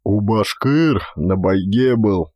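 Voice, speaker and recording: Audiobook role: strapping Cossack son acted by a man, gruff, careless muttering, very dry sound. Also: fairly low pitch, slow, no echo, dull audio. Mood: gruff, dismissive. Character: burly, reckless young Cossack son, gruffly shrugging off injury.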